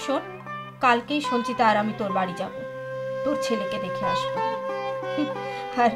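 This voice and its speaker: A woman's voice: on the high side, wildly swooping pitch, moderate pace. An actress in a Bengali audio drama playing a wistful, unhappy wife of a rich businessman, emotional and confiding. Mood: concerned, insistent. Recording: studio drama with sitar music behind.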